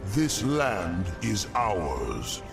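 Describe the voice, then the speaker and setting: A man's voice: on the low side, wildly swooping pitch, drawn-out words, vocal fry, heavily acted. An actor voicing an ominous, haughty male demon lord in a video game cutscene, with a grave and imperious delivery. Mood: stern. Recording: loud music behind the voice.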